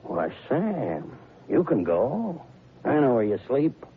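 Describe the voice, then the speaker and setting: Radio crime drama: gruff cop, raspy, needling, lo-fi vintage sound. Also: male voice, mid-range voice, huge pitch swings, slow pace, exaggerated voice. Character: gruff, matter-of-fact American police lieutenant. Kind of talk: 1940s radio drama acting.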